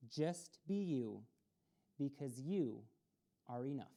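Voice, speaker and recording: Deep-voiced woman reading to children. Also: expressive, takes it slowly, warm tone. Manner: encouraging, talks straight to children. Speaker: storytime reader for children. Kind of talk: reading a children's picture book aloud. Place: community access TV studio.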